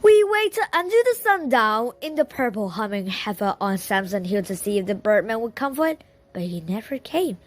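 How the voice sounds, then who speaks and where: A woman's voice: medium pitch, very animated, normal speed. A woman reading a children's novel aloud as a first-person storyteller. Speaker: audiobook reader.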